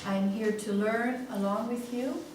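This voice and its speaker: An unhurried woman in her sixties speaks English with a Greek accent as a seminar trainer, pausing on many "um"s and asking "yeah?". She sounds far from the mic, in a strongly echoing room with clear background noise. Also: on the high side, steady tone. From Greece. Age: 60s. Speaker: seminar trainer in family therapy. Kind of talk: lecturing a seminar.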